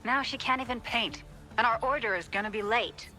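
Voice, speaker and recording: A worried worker muttering, bright voice, recorded playback. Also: female voice, on the high side, a little fast, soft background music. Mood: fretful. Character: worried female workshop hand. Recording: played back as an audio recording.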